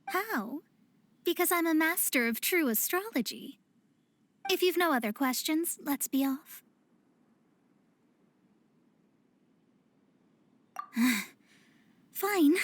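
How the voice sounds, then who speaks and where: A woman's voice: high-pitched, dry recording. A woman voicing a proud young woman astrologer in a video game, speaking in a pompous, formal, precise way. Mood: lofty, self-assured. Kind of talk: scripted video game voice acting. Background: game music.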